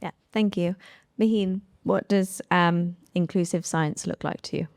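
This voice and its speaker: A woman's voice: lowish voice, fairly even intonation, a little slow, gravelly, a little mellow, no echo, clean studio sound. A woman moderating a live panel discussion, talking informally and often using "like" as she thinks aloud. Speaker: panel moderator, science researcher.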